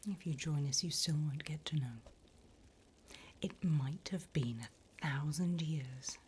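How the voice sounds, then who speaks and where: A demon interviewer from hell, played by a woman, whispers in a low, breathy voice. She is slow, deliberate and courteous, softly menacing and delighted by wickedness, in an intimate ASMR roleplay with some background noise. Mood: politely sinister.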